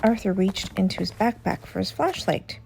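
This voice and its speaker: A woman reading a children's story aloud as an expressive storyteller. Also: medium pitch, moderate pace, creaky. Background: soft music.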